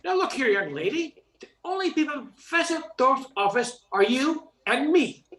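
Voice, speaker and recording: A woman's voice: sits high, very animated, normal speed, fairly bright tone. An older woman playing a brusque, easily flustered young male professor in an amateur radio play, with a stiff, indignant manner. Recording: roomy, echoing sound.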